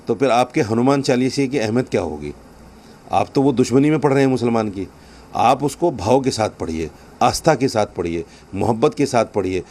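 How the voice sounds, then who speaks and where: A man's voice: mid-range voice, darkish tone. A man, a religious leader, giving a press statement in an admonishing way with repeated emphatic phrases.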